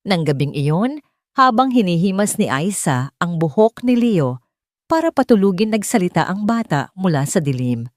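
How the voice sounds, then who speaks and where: Low-voiced woman narrating a story. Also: big pitch swings, normal speed, darkish tone, no echo, clean studio sound. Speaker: audiobook narrator. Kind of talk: dramatic scripted story narration.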